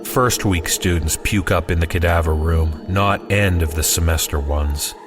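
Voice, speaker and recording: A man's voice: low voice, measured pace. A man narrating a scripted first-person horror story in a conversational way with wry asides. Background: music.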